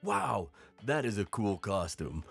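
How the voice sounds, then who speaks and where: Easygoing boyfriend type, male actor, deep and gravelly, casual, for audio drama. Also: wildly swooping pitch, slow pace, faint music behind, exaggerated voice. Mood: impressed. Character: easygoing, joking boyfriend meeting new friends. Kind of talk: naturalistic audio drama acting.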